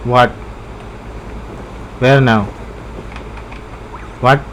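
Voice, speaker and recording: A woman plays a game and comments in short, casual mutters. Her low voice moves slowly, with clear noise and game audio behind it. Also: fairly even intonation, rough recording. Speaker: game streamer.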